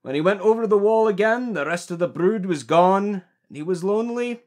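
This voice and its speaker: A man playing a surly old gardener who is gruff with people but fond of birds, speaking in rustic old-fashioned dialect. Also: high-pitched, brisk, a little mellow, natural voice. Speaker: actor in an audio drama. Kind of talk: amateur audio drama acting.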